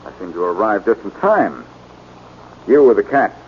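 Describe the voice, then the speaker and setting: Actor as wry radio sleuth, American man, very low animated voice, confident, muffled vintage recording. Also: moderate pace, slight background noise, lightly acted. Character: cocky, quick-witted male amateur sleuth. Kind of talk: 1940s radio acting.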